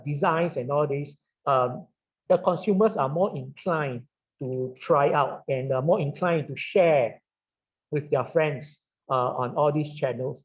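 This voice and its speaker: A middle-aged man with a Singaporean English accent, a wine retailer speaking on a webinar panel, halting with many "uh" fillers and "right?" tags. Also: a little high. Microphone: video call. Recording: dull lo-fi online call audio.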